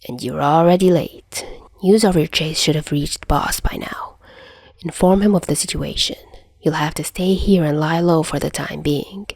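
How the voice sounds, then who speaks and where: A woman plays a tired male doctor in an audio story. He chides in a low, weak, breathy voice, stern yet caring, recorded dry. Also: moderate pace, darkish tone, dry recording. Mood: tired, chiding. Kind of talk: amateur voice acting in fan fiction reading.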